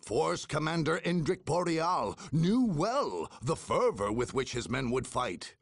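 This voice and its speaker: An actor playing a solemn, proud chronicler of a warrior order, narrating a game cutscene in a formal, grandiose manner. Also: male voice, fairly high pitch, gravelly, slightly rough, dry recording, clean studio sound, full character voice. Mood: proud, reverent. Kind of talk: dramatic scripted war-chronicle narration.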